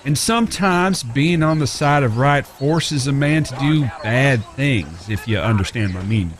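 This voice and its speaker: A man acting in an audio drama as a courteous, smitten Old West drifter with a rough past, speaking folksy, polite lines in an American Western accent. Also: mid-range voice, lively intonation, unhurried, slight background noise, close to an everyday voice. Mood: earnest. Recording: clear background ambience with animal sounds.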